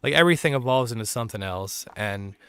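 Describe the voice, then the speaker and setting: A man's voice: mid-range voice, animated, fast talker, a bit bright, clear, resonant tone, dry recording. A man hosting a casual live horror panel chat, loose, profane and laughing often, on a close mic. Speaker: podcast host.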